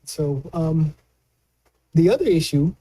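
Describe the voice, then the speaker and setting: A man giving conversational political commentary as a news analyst, colloquial and halting, often repeating words as he explains. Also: on the high side, mellow.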